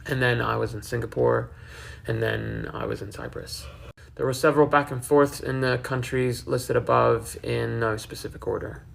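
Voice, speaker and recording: Young man with a gravelly, creaky voice. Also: medium pitch, fairly even intonation, normal speed, fairly bright tone. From several countries including the Philippines, Australia and the UK. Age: 20s. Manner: candid, swearing. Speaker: online video creator. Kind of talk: personal confessional vlog addressed to viewers.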